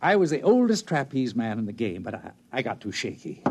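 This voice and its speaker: A man's voice: mid-range voice, big pitch swings, a little fast, darkish tone, husky. An actor playing a shaky, rambling old man in a 1950s radio drama. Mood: chummy. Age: older. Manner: indignant, rambling, chummy. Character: shaky old male failed category inventor.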